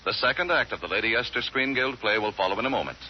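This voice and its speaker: A man announcing the acts of a 1940s radio play in a crisp, formal way. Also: fairly low pitch, fast talker, slight background noise. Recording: dull old radio with music.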